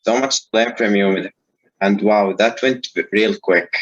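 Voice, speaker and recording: A man's voice: fairly low pitch, steady tone, a little slow, highs cut off. A young man from Saudi Arabia, a student interviewer asking questions briefly and in a friendly way.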